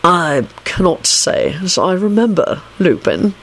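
Woman doing a haughty, slow-drawling male professor. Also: lowish voice, big pitch swings, creaky, fairly bright tone, full character voice. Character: haughty, disdainful older male professor in a portrait.

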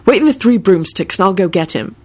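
Young storybook hero, read by a woman: brash, irritable, sardonic, quick to lose patience. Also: mid-range voice, lively intonation, darkish tone, natural voice. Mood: cool, firm. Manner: barking, exasperated.

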